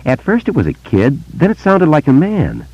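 A man voicing a curious, excitable small-town boy who talks in a quick, slangy way. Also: fairly high pitch, slightly rough, dry recording, pitched above the performer's own voice, exaggerated voice. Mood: earnest. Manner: breathless, excitable, slangy kid talk. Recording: dull lo-fi dry recording.

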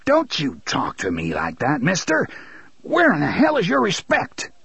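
A man's voice: on the high side, huge pitch swings, unhurried, creaky, slightly rough, no echo, pitched above the performer's own voice, exaggerated voice. A man voicing a blustering, bullying middle-aged father who snarls and swears. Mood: snarling. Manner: snarling, profane.

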